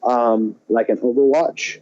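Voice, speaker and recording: A man co-hosting a podcast, chatting casually and thinking aloud in halting, hesitant phrases. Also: mid-range voice, steady tone, darkish tone, dull audio. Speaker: podcast co-host. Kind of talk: casual chat about movies and games.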